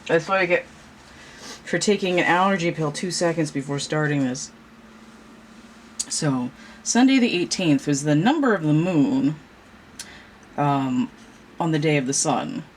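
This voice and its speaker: A woman hosting a casual tarot reading vlog at home, talking in a halting, meandering way with a stuffy nose. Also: sits low, rough recording. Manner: halting, many fillers, slow and meandering. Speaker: tarot reader and channel host. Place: home room with a fan running. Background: fan noise.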